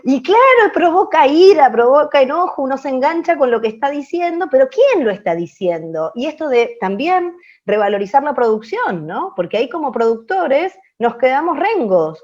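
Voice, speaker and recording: A woman with a fairly high voice teaches an open class. She explains reflectively and leans on key phrases by repeating them. The recording is somewhat dull and lo-fi. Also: darkish tone. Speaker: radio language teacher.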